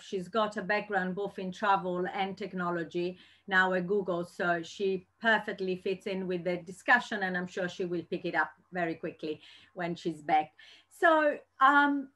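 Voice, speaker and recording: A woman's voice: medium pitch, fairly bright tone. A woman moderating an online panel discussion over a video call, asking long, rambling questions with plenty of fillers.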